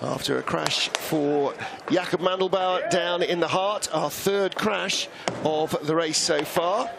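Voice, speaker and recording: A man's voice: fairly high pitch, takes it slowly, gravelly. A man with a Welsh accent doing live sports commentary, chatty and anecdotal, laughing easily. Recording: commentary over loud outdoor track noise.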